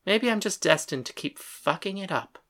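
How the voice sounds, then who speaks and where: A woman voicing a young woman who is heartsick and pleading, speaking softly and earnestly. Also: mid-range voice, lively intonation, normal speed, close to an everyday voice. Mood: dejected. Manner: soft, earnest and hurt.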